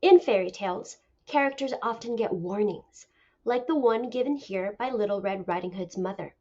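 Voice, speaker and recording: A woman teacher reading a picture book aloud as a lesson, with deliberate, expressive storytelling. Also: mid-range voice. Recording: dull lo-fi recording.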